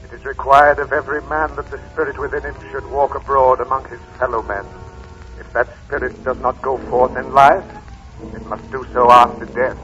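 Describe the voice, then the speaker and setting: A man's voice: mid-range voice, level tone, moderate pace, a bit bright, close to an everyday voice. A man acting a tormented, chained ghost, solemn and declamatory, in a 1930s radio drama. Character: tormented male ghost of a dead business partner, solemn, chained. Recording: muffled 1930s radio recording with music.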